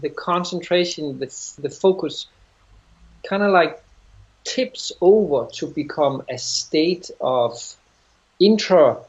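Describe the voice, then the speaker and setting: A middle-aged man with a Danish accent, answering interview questions as a yoga teacher, thoughtful and halting, often restarting phrases. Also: fairly high pitch, animated, a little mellow. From Denmark. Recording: dull lo-fi sound.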